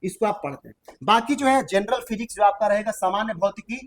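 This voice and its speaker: A man's voice: high-pitched, fairly quick, slight background noise. A man with a Bihari accent giving an informal exam-coaching lecture as a teacher, emphatic and often repeating himself. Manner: emphatic, repetitive, with colloquial tag phrases.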